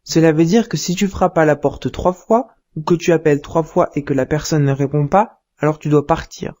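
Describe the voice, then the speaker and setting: Cartoon dad, played by a woman: wise, patient, devout, measured teacher's delivery, lowish mellow tone. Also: close to an everyday voice. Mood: calm, instructive. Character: calm, wise, pious father advising his young son. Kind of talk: didactic cartoon dialogue.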